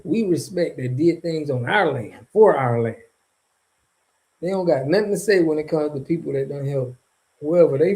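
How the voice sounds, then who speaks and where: Animated, mocking man, slightly high, mellow. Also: rough recording. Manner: animated, slangy, mocking, laughs often. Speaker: streamer reacting to viewer chat. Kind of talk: casual livestream commentary.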